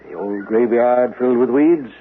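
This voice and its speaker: An actor playing an elderly, gentle, wistful minister in a 1970s radio drama, speaking in a courteous, hesitant, halting way. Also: male voice, mid-range voice, close to an everyday voice. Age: older. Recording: muffled lo-fi radio recording with faint noise.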